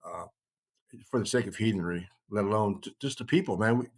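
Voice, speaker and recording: A man's voice: fairly low pitch, a little fast, breathy edge. A middle-aged man in a casual, rambling group discussion, speaking in an earnest, blunt, folksy way. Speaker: podcast host.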